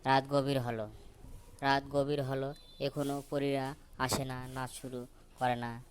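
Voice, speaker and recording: Slow, even-toned man telling stories. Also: medium pitch. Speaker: storyteller. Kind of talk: scripted children's story narration. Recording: noticeable background noise.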